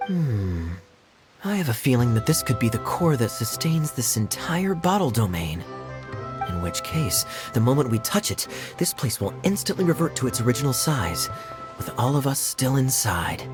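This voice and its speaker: A man voicing a suave, teasing young knight in a video game, who talks with smooth reassurance. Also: medium pitch, big pitch swings, moderate pace, music in the background, close to an everyday voice. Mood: thoughtful. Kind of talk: video game cutscene dialogue.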